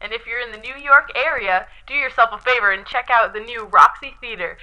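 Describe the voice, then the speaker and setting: A woman's voice: a little high, brisk, sharp tone. A woman acting in a scripted 1920s radio show as a chipper radio personality. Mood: upbeat. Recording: dull lo-fi recording.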